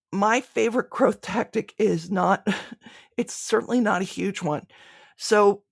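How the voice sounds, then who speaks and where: Creaky-voiced woman chatting as podcast guest. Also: mid-range voice, no echo, studio quality. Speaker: podcast guest, audio drama creator. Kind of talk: conversational interview answers.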